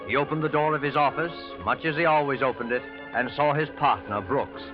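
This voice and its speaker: A man's voice: mid-range voice, natural voice. A man playing a mysterious host of suspense tales in 1940s radio drama, formal and dramatic in delivery. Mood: measured storytelling. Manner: formal, dramatic, deliberate showmanship. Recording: dull vintage 1940s radio recording. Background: prominent music.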